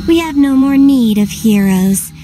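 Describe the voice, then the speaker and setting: A woman playing a cold, solemn villain in a video game, delivering a theatrical, ominous monologue in measured phrases. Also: high-pitched, expressive, background noise. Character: solemn, cold female villain foretelling the end of heroes. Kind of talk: scripted game cutscene monologue.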